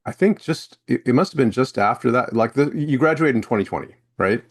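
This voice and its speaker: A man's voice: mid-range voice, animated, fairly quick, slightly rough. A man hosting a podcast interview, chatting casually as he sums up points and leads into his questions. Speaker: podcast host.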